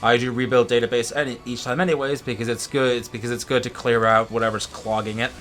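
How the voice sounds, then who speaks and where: A man's voice: mid-range voice, level tone, quick pace, fairly bright tone, a little hiss or hum. A man co-commentating a let's play in casual chat, with a geeky, opinionated and casually profane way of talking. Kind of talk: casual chat over gameplay. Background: game music.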